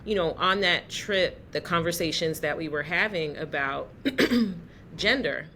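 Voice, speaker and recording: A woman hosting a casual interview, pausing often with "um" as she gathers her thoughts. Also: lowish voice, slight background noise. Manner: warm-hearted, searching for words with many "um"s. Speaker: podcast host.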